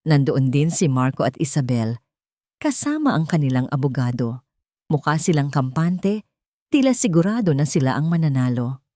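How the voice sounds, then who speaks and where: A woman's voice: sits low, very animated, normal speed, dark tone, no echo, studio quality. A woman reading a scripted dramatic story as its narrator.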